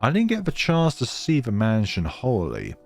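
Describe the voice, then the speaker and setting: A man reading a visual novel aloud in a theatrical, expressive way and adding his own playthrough commentary. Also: medium pitch, moderate pace, faint music behind.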